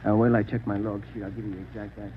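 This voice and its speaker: Guy doing friendly helpful cab driver from old radio, talks fast, casual, thin tinny 1940s sound. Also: fairly low pitch, level tone, close to an everyday voice. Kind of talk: 1940s radio drama acting. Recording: tinny, faintly noisy 1940s radio recording.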